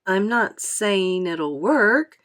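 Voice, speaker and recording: A thoughtful, apologetic young boy from a children's storybook, played by a woman. He speaks slowly and haltingly, in a gentle murmur. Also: medium pitch, expressive. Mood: cautious. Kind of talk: storybook character voice in a read-aloud.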